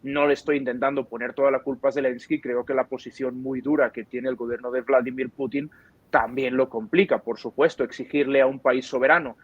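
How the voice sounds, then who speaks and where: A man's voice: mid-range voice, steady tone, fairly quick, breathy edge, dull audio. A man with an accent from Spain gives explanatory commentary as an interviewed analyst, choosing his words carefully and qualifying his points. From Barcelona, Spain.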